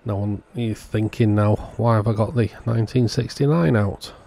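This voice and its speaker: A man's voice: lowish voice, moderate pace, creaky, dark tone. A man giving an informal, matter-of-fact voice-over, explaining a hands-on repair step by step as the host. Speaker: host of a radio repair channel. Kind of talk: informal scripted-sounding voice-over narration of a repair.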